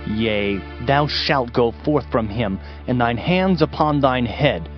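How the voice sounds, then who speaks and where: A man's voice: medium pitch, lively intonation, takes it slowly. A man narrating a dramatized scripture reading in a solemn, declamatory manner. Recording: dull band-limited audio, music behind. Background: music.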